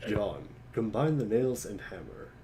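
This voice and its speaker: A man's voice: lowish voice, big pitch swings, a little slow, dark tone. A man casually reading short lines and chatting in a group. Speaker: co-reader of command lines.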